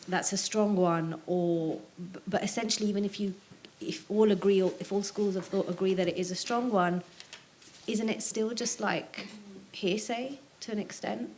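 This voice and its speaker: Casting note: woman audience member, low voice, hesitant questioner, faint noise behind. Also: darkish tone, poor audio. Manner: hesitant, many fillers. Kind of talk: public seminar question.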